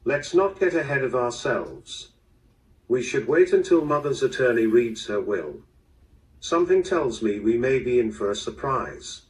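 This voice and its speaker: Composed, formal rich-family gentleman male actor, audio drama, animated pitch movement, distant small-speaker sound. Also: lightly acted. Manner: formal, measured, polite. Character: composed, proper man of a wealthy estate family. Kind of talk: mystery audio drama acting.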